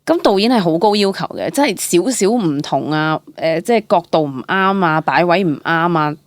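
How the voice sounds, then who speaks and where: A young woman from the Hong Kong Cantonese-speaking world, with a slightly low voice recorded close and dry. She tells stories casually and candidly, animated and chatty, slipping English words into her sentences. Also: normal speed, no echo. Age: young adult. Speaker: interview guest, actor.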